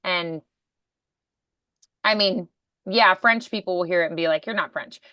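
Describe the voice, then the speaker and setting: A woman coaching in a conversational one-to-one lesson, encouraging and pausing to demonstrate sounds. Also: fairly low pitch, pushed and bright, clean tone, no echo. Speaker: voice acting coach.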